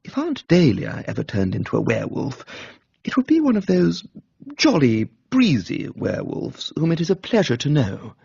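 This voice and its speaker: Posh english bloke reading a funny novel, droll, lively delivery, bit roomy and dull sound. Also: male voice, mid-range voice, moderate pace, vocal fry, breathy edge. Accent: upper-class English. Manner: droll, blithe storytelling. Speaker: narrator, reader. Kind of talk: comic scripted narration of a novel.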